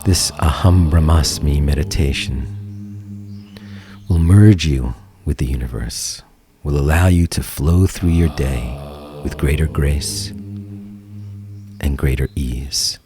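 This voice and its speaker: A man guiding a meditation in a soothing, gentle manner, leaving long pauses, with soft, breathy phrase endings. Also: very deep voice. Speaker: meditation teacher and guide. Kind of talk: guided meditation. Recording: calm music bed behind voice. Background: meditation music.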